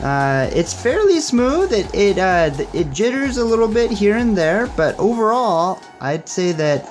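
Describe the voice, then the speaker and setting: A man's voice: high voice, sing-song, a little slow, soft background music. A young man giving a casual, upbeat hands-on tech demo and tutorial in a conversational, explanatory way. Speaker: tech reviewer and presenter.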